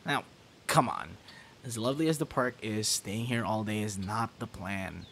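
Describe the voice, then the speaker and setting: A man's voice: on the low side, lively intonation, moderate pace, creaky, slight background noise. A man playing an easygoing, teasing young guy in an ASMR boyfriend roleplay, talking casually and straight to the listener. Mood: reassuring, playful. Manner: casual, teasing, soft chuckles and sighs.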